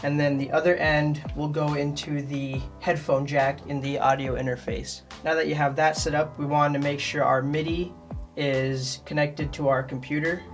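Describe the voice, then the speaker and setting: A man with a slightly high voice presents a how-to tutorial in a casual, friendly manner. He addresses the viewers directly as a channel host would. Some music is heard behind him. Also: little pitch movement, moderate pace.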